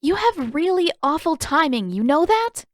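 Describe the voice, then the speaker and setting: A woman voicing a brisk, confident, teasing teenage girl in an audiobook story, with a sardonic manner. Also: high-pitched, big pitch swings, no room sound, clean studio sound, voice pushed up. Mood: brisk, dismissive. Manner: sardonic, dismissive.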